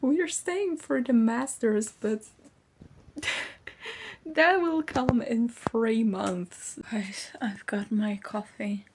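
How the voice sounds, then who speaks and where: A woman's voice: on the high side, slow pace, darkish tone, slight background noise. A woman, a student vlogger chatting casually in a rambling, informal way. is her language. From Poland. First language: Polish. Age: early 20s. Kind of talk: casual chat.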